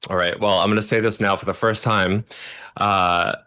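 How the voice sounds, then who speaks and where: A man in his thirties with a deep voice, a makeup artist telling animated backstage stories in casual chat. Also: moderate pace, smooth. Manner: chatty, animated storyteller, mimics voices in quotes.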